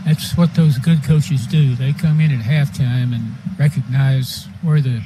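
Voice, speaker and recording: A man with an American accent offers conversational football analysis as a radio color commentator. His voice is mid-pitched, with clear stadium noise behind it. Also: fairly even intonation, unhurried. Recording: noisy live stadium broadcast.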